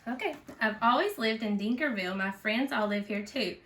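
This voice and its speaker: A woman reading a children's storybook aloud in an expressive, teacherly way, with chatty asides and questions to a young listener. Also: a little high, a little fast. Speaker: parent reading a storybook aloud for a school read-aloud.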